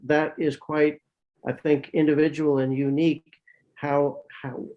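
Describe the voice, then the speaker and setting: A man's voice: medium pitch, a little mellow, poor audio. A psychiatrist, a man, answering questions thoughtfully over a video call, often repeating words as he thinks. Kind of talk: answering questions in an online talk.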